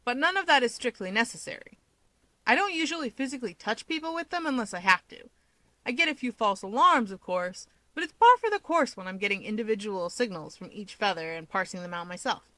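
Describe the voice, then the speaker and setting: A woman's voice: on the high side, expressive, brisk, bright, forceful tone, no room sound. A woman voicing an upbeat, self-assured young male hero with wings, explaining things chattily in an audio story. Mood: matter-of-fact. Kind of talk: fan fiction audiobook character dialogue.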